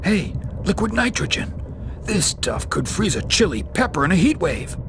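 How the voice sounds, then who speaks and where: An actor playing a cool, confident, wisecracking secret agent hero in a video game. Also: male voice, sits high, sing-song, normal speed, background noise. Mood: intent.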